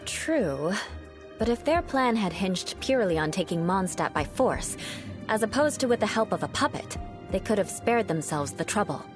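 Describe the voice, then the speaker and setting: A woman's voice: medium pitch, very animated, normal speed, lightly acted. An actress playing a proud, theatrical young female fighter in a video game, with a haughty, dramatic manner. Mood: serious. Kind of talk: anime-style video game voice acting. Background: game music.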